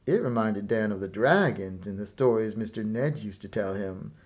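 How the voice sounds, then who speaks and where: A man reading a children's story aloud as scripted narration, with a measured, dramatic storyteller's delivery. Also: on the low side, lively intonation, a little mellow, dull audio. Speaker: storyteller reading a picture book.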